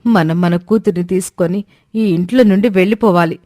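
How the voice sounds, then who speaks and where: A woman's voice: lowish voice, normal speed, mellow, no echo, studio quality, natural voice. A woman playing a possessive, anguished young mother in a family drama story, speaking with emotional, stubborn insistence. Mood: hurt, insistent.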